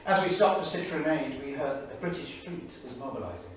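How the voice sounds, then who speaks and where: An actor playing an anguished, indignant 1930s English diarist, emphatic and declamatory, in a staged reading. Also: male voice, mid-range voice, lively intonation, a little fast, natural voice. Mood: grave, weary. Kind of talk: dramatic staged reading of a journal. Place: small theatre studio. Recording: echoey theatre, distant mic, faint noise.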